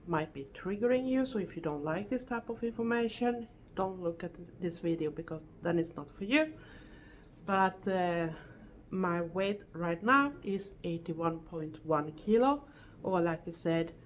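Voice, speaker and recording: A middle-aged woman from Sweden with a Swedish accent, giving an earnest, halting vlog update with self-corrections and non-native phrasing. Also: lowish voice, slight background noise. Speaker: vlogger. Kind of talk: casual health update vlog.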